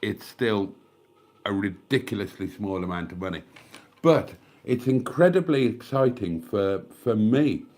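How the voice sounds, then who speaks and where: An older British man rambles on a home livestream, self-mocking and fond of calling his audience darlings. His voice sits fairly low and sounds stuffed up with a cold, and he speaks into a desk microphone. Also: lively intonation, measured pace, darkish tone. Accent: British English. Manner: chatty and self-mocking, calls viewers darlings. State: congested with a cold, coughing. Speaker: YouTube livestream host. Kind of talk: casual rambling livestream chat. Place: at home, near the fridge.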